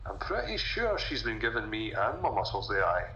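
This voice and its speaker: Big workman, boastful, chummy, bright voice, echoey game audio log. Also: fairly low pitch, big pitch swings, normal speed, highs cut off, a little hiss or hum, heavily acted. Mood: boastful, amused. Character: big, boastful, good-natured Scottish strongman workman. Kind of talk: recorded audio log monologue.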